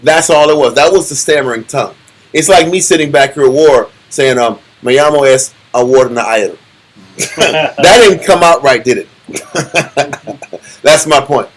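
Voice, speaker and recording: A middle-aged man leading a Bible study, teaching in an animated, preachy way and often repeating himself. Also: medium pitch, measured pace, a little hiss or hum, low-quality recording.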